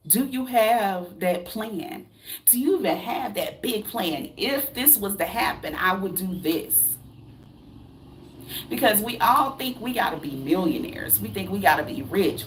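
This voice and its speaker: Blunt gravelly woman in her thirties. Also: fairly low pitch, a little hiss or hum. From United States. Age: 30s. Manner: blunt and preachy, with rhetorical questions and casual swearing. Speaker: vlogger. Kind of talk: candid motivational talk to camera.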